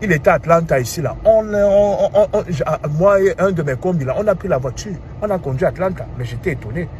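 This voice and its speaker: A man's voice: high voice, quick pace. A man in his thirties with a Cameroonian French accent, talking casually and animatedly, asking rhetorical questions. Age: 30s. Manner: animated, asks rhetorical questions, repeats "hein". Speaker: commentator. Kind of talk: casual monologue. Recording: clear street and traffic noise.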